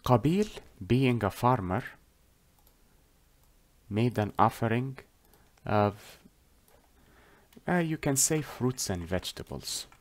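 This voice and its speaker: A man whose first language is Arabic tells a religious story as a lesson, talking deliberately with many pauses and self-corrections. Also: medium pitch, animated. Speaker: religious storyteller teaching on a whiteboard.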